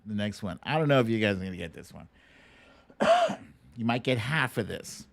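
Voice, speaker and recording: A man's voice: lowish voice, lively intonation, normal speed, vocal fry. A man hosting a casual livestream guessing game, chatting playfully and informally straight to his viewers. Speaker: livestream host running a song-mashup guessing game.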